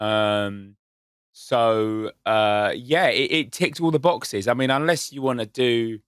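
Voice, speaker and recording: A man's voice: mid-range voice, slow pace, smooth, dry recording, clean studio sound. A man co-hosting a casual podcast, telling stories in an enthusiastic, self-mocking way. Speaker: podcast co-host. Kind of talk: casual chat.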